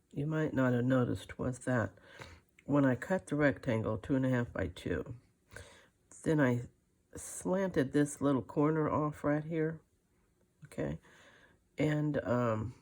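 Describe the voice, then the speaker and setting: An older woman with a gravelly, creaky voice talks through a craft at a very slow pace. She rambles in a folksy way, often muttering to herself as she works. The tone is rough and husky, in the style of a casual tutorial. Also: very deep voice, darkish tone. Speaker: craft video host. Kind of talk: casual hand-stitching tutorial, thinking aloud.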